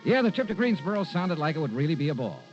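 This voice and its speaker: An actor playing a wry, confident hardboiled insurance investigator in old-time radio noir. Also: male voice, fairly high pitch, big pitch swings, quick pace, a little mellow. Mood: wry narration. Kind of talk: 1950s radio noir acting and narration. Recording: dull vintage radio recording, faint music.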